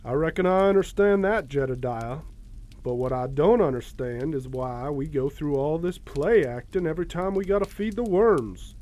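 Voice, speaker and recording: Actor as puzzled backwoods Southern son. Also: male voice, a little high, lively intonation, normal speed, a little mellow, lightly acted. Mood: puzzled, complaining. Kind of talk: radio horror drama acting.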